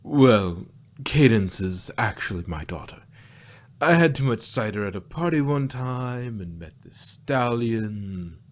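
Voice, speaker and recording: A man voicing a solemn, ancient female pony ruler who confides secrets in a measured way, in an amateur fan-fiction reading. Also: lowish voice, expressive. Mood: confessional. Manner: measured, confessional storytelling.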